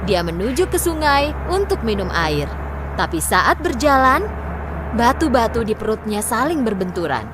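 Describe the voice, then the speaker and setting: A woman's voice: a little high, big pitch swings, moderate pace, noisy background. A woman storyteller reading scripted fairy tale narration for children.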